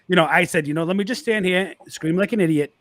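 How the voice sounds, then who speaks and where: A man's voice: sits high, fast, fairly bright tone, dry recording. A man from Boston with a Boston accent, a guest telling stories in casual chat, stammering and repeating words as he goes.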